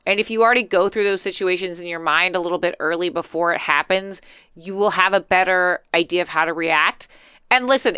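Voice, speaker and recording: A woman chatting casually as a live streamer, talkative and rambling, with an expressive, playfully dramatic way of talking. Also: mid-range voice, smooth, no room sound, clean studio sound. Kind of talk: casual chatty livestream commentary.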